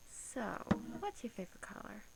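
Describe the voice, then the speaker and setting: A woman voicing a smug, menacing female vampire in a soft-spoken ASMR roleplay, teasing and slipping into whispers. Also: moderate pace, heavy background noise, natural voice. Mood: playfully menacing.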